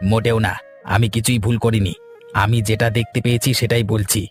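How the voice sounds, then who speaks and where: A man acts out a scheming cartoon villager who loves to argue. He rattles his words out quickly and insistently, with faint music underneath. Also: fairly low pitch, flat delivery, natural voice. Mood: accusing. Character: scheming, argumentative village man, sly troublemaker. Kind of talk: Bengali cartoon story acting.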